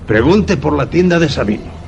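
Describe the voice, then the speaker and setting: An actor playing a wary, reluctant country shopkeeper in a suspense radio play, halting and plain-spoken. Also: male voice, fairly high pitch, lively intonation, creaky, over loud music. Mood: wary, cautioning. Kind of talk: radio theatre acting.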